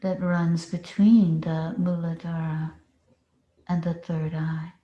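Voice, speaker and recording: Very slow woman guiding meditation, roomy sound. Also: lowish voice, level tone, mellow, muffled recording. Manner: slow, deliberate instructing with long pauses. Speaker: meditation teacher. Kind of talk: guided meditation. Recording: roomy, echoing space.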